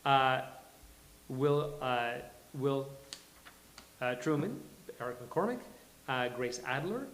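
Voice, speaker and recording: A man hosting a live table read, announcing and reading stage directions in a halting, deliberate way. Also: medium pitch, fairly bright tone, a little hiss or hum. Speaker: host and stage-direction reader. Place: live venue with audience. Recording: echoey live venue.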